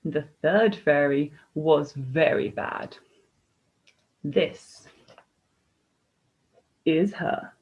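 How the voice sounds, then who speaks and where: A woman's voice: sits low, extremely slow, pauses often, breathy edge. A woman storyteller reading a children's story expressively, in a slightly dull, lo-fi recording.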